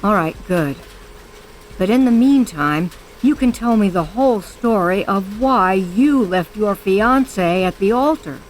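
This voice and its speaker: Woman acting a guarded, slow-spoken stern woman. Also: mid-range voice, lively intonation, a little hiss or hum, lightly acted, poor audio. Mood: grudging. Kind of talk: audio drama acting.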